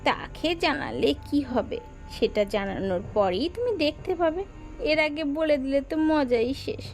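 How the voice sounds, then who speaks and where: Woman playing a sly, high-voiced scheming daughter-in-law. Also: expressive, moderate pace, gravelly, a little mellow, soft background music. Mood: smugly confident. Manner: conniving, self-assured. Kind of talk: cartoon moral-tale voice acting.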